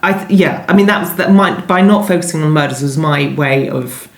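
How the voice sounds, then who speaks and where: A woman novelist being interviewed, talking conversationally in a thoughtful, hesitant and halting way. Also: low-pitched. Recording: echoey room sound.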